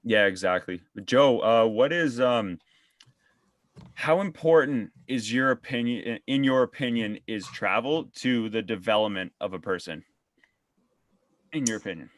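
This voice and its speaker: A man's voice: medium pitch, unhurried, dry recording. A young man hosting a podcast, chatting casually and often restarting his sentences. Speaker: podcast host. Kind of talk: casual conversation.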